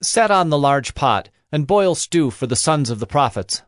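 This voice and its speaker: An actor playing a stern, commanding holy prophet in a dramatized audio Bible, speaking solemnly. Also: male voice, a little high, sing-song, moderate pace, no echo, clean studio sound.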